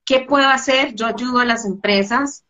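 A woman guest on a podcast interview who talks in a lively, casual way and laughs easily. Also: on the high side, moderate pace. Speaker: guest, business consultant. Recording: dull lo-fi sound.

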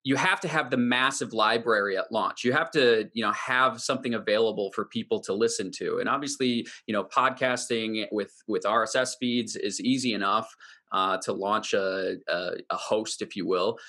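A man's voice: lowish voice, normal speed, sharp tone. A man asking questions as the interviewer on a conversational podcast.

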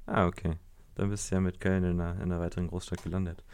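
A man's voice: deep voice, little pitch movement, very fast, darkish tone, clear, resonant tone. A young man hosting a casual podcast interview, talking hesitantly with many fillers and restarts. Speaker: podcast host.

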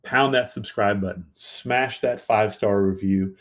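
A man's voice: on the low side, measured pace. A man co-hosting a podcast, introducing the episode in a rambling, enthusiastic way with many fillers. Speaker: podcast co-host.

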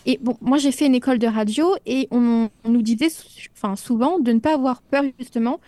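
A chatty radio host, quick to jump into the conversation and keep it moving. Her voice is high and bright, and it sounds close and dry with no echo around it. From Paris suburbs, France. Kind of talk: casual on-air chat. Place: radio studio.

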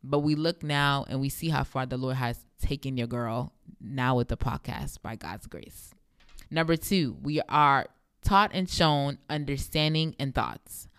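A young woman with a deep voice hosting a devotional podcast, speaking emphatically and repeating phrases for effect. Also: a little slow, clear, resonant tone, no room sound, clean studio sound. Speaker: podcast host.

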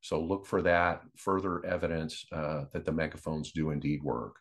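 A man co-hosting an advocacy podcast, explaining things unscripted in a measured, matter-of-fact way. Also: rumbling low, steady tone.

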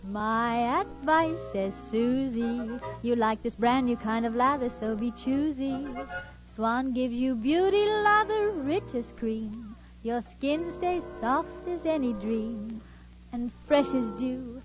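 High female 1940s radio jingle performer. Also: takes it slowly. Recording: muffled old radio recording with music.

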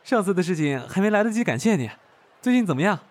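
A man's voice: sits high, big pitch swings, quick pace. An actor playing an eager, chummy young male manager in an audio drama, friendly and boisterous. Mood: cheerful, coaxing. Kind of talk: Chinese audio drama acting.

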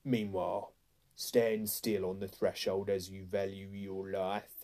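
A huge grey storybook wolf, acted out by a man, with a dark, growling voice. He speaks in slow, commanding threats, like a cold guard at a gate. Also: deep voice, flat delivery. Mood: cold, stern. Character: huge menacing grey wolf, chief of secret police. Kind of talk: storybook character voice.

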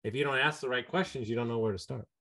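A man's voice: medium pitch, fast talker. A man hosting an interview and speaking emphatically as a coach. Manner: energetic, emphatic, says "like" often. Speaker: podcast host and coach. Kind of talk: casual interview chat.

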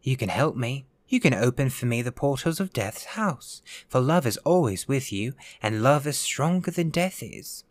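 A man performs an ancient male ghost in a storybook tale, worn out and lonely. The delivery is soft, mournful and dreamy, with an old-fashioned gravity. The sound is close and dry. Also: medium pitch, expressive, moderate pace, hardly any pauses, no echo, lightly acted. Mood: longing, hushed.

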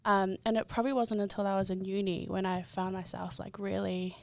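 A woman in her twenties, a podcast guest talking in a chatty, relaxed way with giggles. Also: mid-range voice, brisk, vocal fry. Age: 20s. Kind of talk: casual chat.

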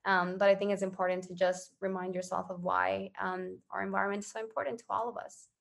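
A woman's voice: medium pitch, level tone, fast talker, creaky. A woman from Ecuador giving casual, earnest interview answers as a social entrepreneur, often checking in with "right?". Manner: earnest, conversational, often tagging phrases with "right?".

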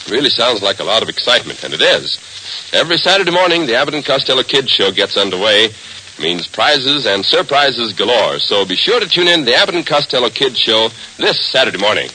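A man's voice: medium pitch, big pitch swings, fairly quick, sharp tone, slight background noise, poor audio. An American man announcing in an emphatic, theatrical 1940s radio style, on a vintage broadcast recording.

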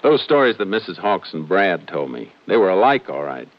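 An actor playing a calm, methodical Texas Ranger in a 1950s radio crime drama, speaking tersely and matter-of-factly. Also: male voice, sits low, moderate pace. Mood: quietly intent. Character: calm, methodical Texas Ranger lawman narrating his case. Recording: noisy, muffled 1950s radio recording.

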